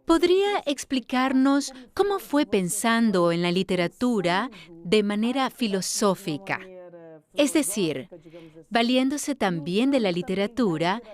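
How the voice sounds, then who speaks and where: A woman's voice: fairly high pitch, animated, measured pace. A woman dubbing a poised interviewer. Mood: attentive. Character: female interviewer, attentive and composed. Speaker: dub voice of an interviewer. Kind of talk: voice-over dub of an interview.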